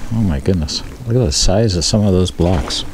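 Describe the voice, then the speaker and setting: A low-voiced man chats to his camera as he hikes, easily wowed and full of little fillers. His action-camera audio is noisy, with the outdoors and passing vehicles clearly heard behind him. It sounds outdoors in a wooded gully. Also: normal speed. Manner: chatty, easily amazed, lots of fillers. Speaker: hiking vlogger. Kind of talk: casual walking commentary. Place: outdoors in a wooded gully by an old rail bed.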